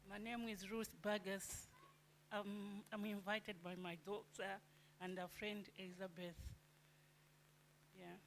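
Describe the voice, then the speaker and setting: Soft, slow-spoken woman from southern Africa. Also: medium pitch, flat delivery, slight background noise, poor audio. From Malawi or Zambia.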